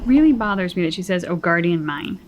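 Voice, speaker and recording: A woman's voice: on the low side, lively intonation, a little fast. A woman hosting a reaction video, chatting casually as she comments on a game. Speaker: reaction channel host. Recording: faint background noise.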